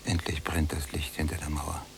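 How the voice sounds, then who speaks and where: An actor playing a brooding, embittered prisoner in a German radio drama, with a gravelly voice and a sardonic, often hushed delivery. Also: male voice, a bass voice, completely flat delivery, normal speed, over music. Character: brooding, embittered male prisoner, younger cellmate. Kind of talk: German radio drama acting, literary monologue.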